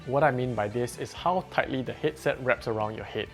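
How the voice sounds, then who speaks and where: A man reviewing a headset in a plain, measured, explanatory way, as a scripted voice-over. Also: medium pitch, a bit bright, faint music behind. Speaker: tech reviewer. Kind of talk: scripted product review narration.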